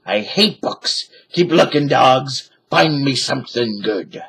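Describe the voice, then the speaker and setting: Man as a gruff gold-greedy pirate captain; slow growling roar, husky. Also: medium pitch, animated, fairly bright tone, voice pushed up, heavily acted.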